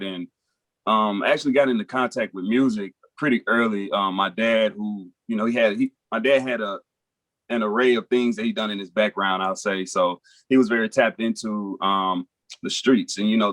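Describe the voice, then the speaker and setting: A young man from Nashville, a rapper, talking in a relaxed, rambling way full of fillers as a podcast guest. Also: fairly low pitch, dry recording. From Nashville, Tennessee. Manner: laid-back, rambling, frequent fillers like "you know" and "um". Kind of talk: casual interview chat.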